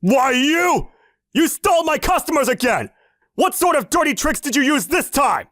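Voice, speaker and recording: A man's voice: very high voice, big pitch swings, moderate pace, a bit bright, dry recording, clean studio sound, voice pushed up, heavily acted. A man voicing a sneering, jealous, hot-tempered rival salesman in a manga dub. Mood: furious. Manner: sneering, accusatory.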